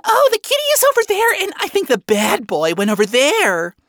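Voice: sits high, wildly swooping pitch, moderate pace, a bit bright, no room sound, studio quality, voice pushed up, full character voice. A performer voicing a tiny, hyperactive, deranged female cyclops housekeeper with a screechy, scatterbrained manner in a story. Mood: manic glee.

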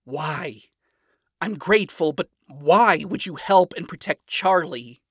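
A man's voice: a little high, takes it slowly, a bit bright, slightly rough, no echo, clean studio sound, pitched above the performer's own voice, heavily acted. A man voicing a proud, irritable devil father in a story, speaking in a wounded, defensive way. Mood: grudging. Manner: indignant, defensive.

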